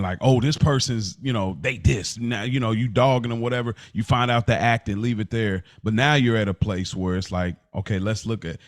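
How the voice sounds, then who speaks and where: A man hosting a podcast, putting questions in a loose, slangy, conversational way. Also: lowish voice. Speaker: podcast host asking questions. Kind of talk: casual chat.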